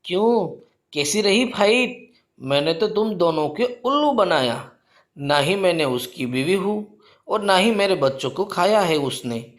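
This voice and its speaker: A man's voice: sits high, lively intonation, unhurried. A man voicing a plucky, protective mother sparrow in a cartoon story, pleading and melodramatic. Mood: proud, grateful.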